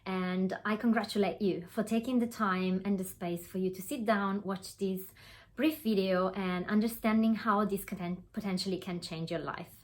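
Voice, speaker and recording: A woman's voice: mid-range voice, fairly even intonation, moderate pace. Earnest Italian woman with a phone. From Italy. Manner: warm, earnest, lots of fillers and restarts. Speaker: online business coach. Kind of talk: direct-to-camera webinar talk. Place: home room.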